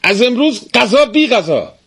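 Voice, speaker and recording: A man's voice: mid-range voice, wildly swooping pitch, breathy edge, dry recording, clean studio sound, pitched above the performer's own voice, exaggerated voice. A man voicing an overworked, exasperated wife in a storybook folk tale, in a raised, scolding voice. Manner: pleading then shouting, scolding. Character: weary, exasperated, nagging wife. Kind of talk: storybook reading.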